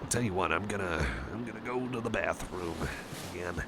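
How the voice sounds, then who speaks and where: Man playing an anxious cyborg buddy, gravelly, stammering. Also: low voice, brisk. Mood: relieved, shyly eager. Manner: stammering, hesitant, apologetic, rambling. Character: anxious, friendly male cyborg buddy frightened of thunderstorms. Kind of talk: ASMR roleplay, improvised rambling to the listener. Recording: noisy, storm-like ambience behind.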